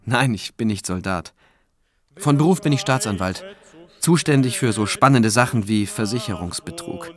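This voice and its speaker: An actor playing a cautious prosecutor in his forties, narrating his story in a radio thriller in a measured, dryly ironic way. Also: mid-range voice, expressive, lightly acted. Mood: reflective, wry. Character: forty-year-old cautious male prosecutor telling his own story. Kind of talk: scripted first-person radio drama narration. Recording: music behind the voice. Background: music.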